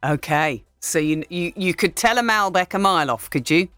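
A woman's voice: fairly low pitch, lively intonation, normal speed, fairly bright tone, no room sound. A woman hosting a local radio phone-in, bubbly and chatty. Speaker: radio presenter.

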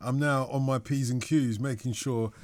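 A man's voice: mid-range voice, steady tone, normal speed, no echo. A man hosting a late-night radio phone-in, chatty and joking with callers. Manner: jokey, chatty, chuckles often. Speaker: radio host. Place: radio studio.

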